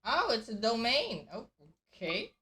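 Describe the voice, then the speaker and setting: A woman's voice: a little high, wildly swooping pitch, a little slow, a bit bright, recorded from a distance, slight background noise. A woman streamer giving casual gameplay commentary, thinking aloud and muttering to herself as she plays. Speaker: game streamer.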